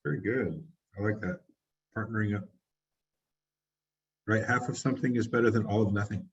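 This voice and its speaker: Husky-voiced man coaching a sales team. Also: fairly low pitch, steady tone, normal speed, dull audio. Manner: encouraging, rambling with restarts. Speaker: real estate team leader running a training. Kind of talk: motivational group coaching.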